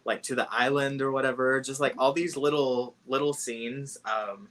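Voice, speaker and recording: A man hosting a casual book chat on a live stream, relaxed and chatty, laughing easily. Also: medium pitch, normal speed. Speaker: book discussion host. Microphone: video call.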